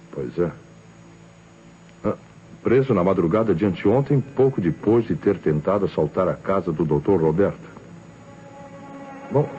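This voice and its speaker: An actor playing a shrewd, sardonic Brazilian police inspector in an old radio crime drama, measured and probing. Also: male voice, sits low, warm tone. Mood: brisk, satisfied. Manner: measured, probing, rhetorical questioning. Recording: dull old radio recording, faint noise.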